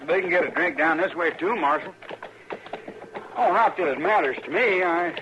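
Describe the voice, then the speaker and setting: On a dull, noisy vintage radio recording, a young cowhand played by a male actor speaks in a fairly high voice. He sounds uneasy and insistent, covering it with false helpfulness. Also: moderate pace, lightly acted. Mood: uneasy, coaxing. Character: young ranch hand, nervously evasive, falsely helpful. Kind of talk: old-time Western radio drama acting.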